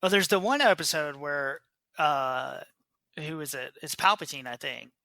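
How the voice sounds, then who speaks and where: Male podcast co-host, sharp bright voice, dry sound, casual off-the-cuff recounting. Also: fairly high pitch, moderate pace, creaky, no room sound. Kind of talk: casual chat.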